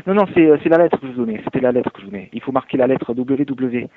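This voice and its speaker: A man's voice: medium pitch, quick pace, a little hiss or hum. A man working as a hotel night receptionist, patiently and helpfully explaining things step by step over the telephone. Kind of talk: phone customer service, spontaneous conversation. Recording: tinny narrowband phone line.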